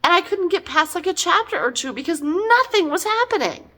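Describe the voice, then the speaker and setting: A woman's voice: very high voice, sing-song, a little fast, sharp tone. A middle-aged woman giving a casual, chatty book review in an exasperated, emphatic, conversational way.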